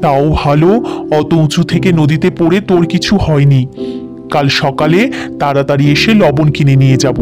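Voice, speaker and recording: A man playing a simple, good-natured village salt merchant in a children's cartoon fairy tale, with drawn-out, plaintive exclamations. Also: on the high side, music in the background, lightly acted. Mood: dismayed, scolding.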